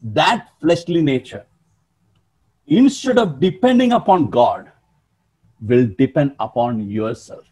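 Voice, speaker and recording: A man in his sixties preaching a sermon in an emphatic, animated way, often calling out to his listeners, over a webcam in a small room. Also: mid-range voice, slow, pauses often, slightly rough. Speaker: pastor.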